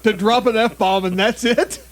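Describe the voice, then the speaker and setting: A somewhat high-voiced man reads bizarre headlines to his co-host in loose, joking banter. He stumbles over foreign words and breaks into laughter mid-sentence. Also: fairly even intonation, measured pace, a little hiss or hum. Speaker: comedy podcast co-host. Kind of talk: casual comedic news banter.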